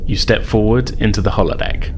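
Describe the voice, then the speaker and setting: A man running a tabletop roleplaying game as game master, narrating scenes in a halting, deliberate way. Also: lowish voice, steady tone, a little hiss or hum. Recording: faint music bed behind voice.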